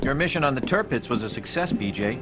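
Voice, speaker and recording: A man playing a measured intelligence officer who gives an explanatory briefing in a video game cutscene. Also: mid-range voice, lively intonation, loud music behind the voice, natural voice. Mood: measured, serious.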